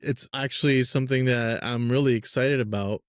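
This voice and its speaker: A man from Peru hosting a casual podcast interview, relaxed and upbeat, talking loosely with fillers. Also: medium pitch, moderate pace, no echo, clean studio sound. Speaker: podcast host.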